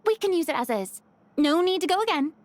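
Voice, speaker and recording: A woman's voice: extremely high pitch, lively intonation, a little fast. A woman voicing an encouraging, decisive film director in a video game, polished and businesslike. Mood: considerate, businesslike.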